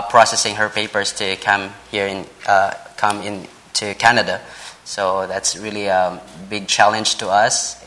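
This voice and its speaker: Male church speaker, thirties, Filipino accent, non-native English. Unhurried, halting delivery with a sharp, bright tone. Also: mid-range voice, low-quality recording. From Philippines. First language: a Philippine language. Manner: halting, frequent pauses and repeated words, polite. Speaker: visiting preacher, Bible college vice president. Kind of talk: church sermon introduction and scripture reading.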